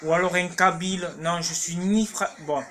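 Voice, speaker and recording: A man's voice: high voice, fairly even intonation, normal speed, a bit bright. A man in his thirties with a Marseille accent, rambling casually to a phone camera in his small home kitchen, full of fillers and restarts. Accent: Marseille French. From Marseille, France. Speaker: cooking vlogger. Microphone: phone camera held at arm's length.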